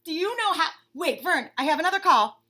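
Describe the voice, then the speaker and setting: A woman voicing an excitable, impatient, needy male bunny from a picture book, with dramatic, exclamatory delivery. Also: very high voice, pitched above the performer's own voice, heavily acted. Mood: excited, chatty. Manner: dramatic, exclamatory, drawn-out emphasis.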